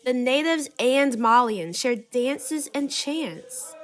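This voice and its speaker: Lady reading a kids book aloud, slowish and really expressive, soft music behind. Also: sits high. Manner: rhythmic, dramatic. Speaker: children's book reader. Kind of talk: expressive scripted storybook narration.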